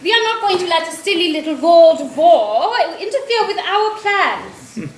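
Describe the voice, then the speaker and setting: Woman acting a very high-voiced plucky young fiancée. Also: big pitch swings, a little slow, exaggerated voice. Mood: resolute. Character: devoted, plucky young German fiancée of a prisoner. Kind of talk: stage play acting. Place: theater stage. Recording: echoey stage recording, faint noise.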